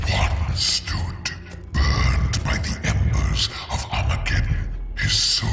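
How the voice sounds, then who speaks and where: A man playing a grave demonic narrator in a video game, chanting an ancient legend slowly and solemnly in a breathy growl. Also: rumbling low, reverberant, exaggerated voice. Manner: slow, solemn, portentous. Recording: loud music under the voice.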